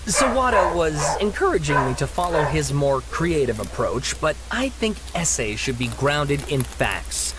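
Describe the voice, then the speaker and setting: A man's voice: a little high, very animated, a little slow, hardly any pauses. A man playing an earnest, impatient young student in an anime game, speaking with hesitant grumbles. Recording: very noisy home gameplay capture.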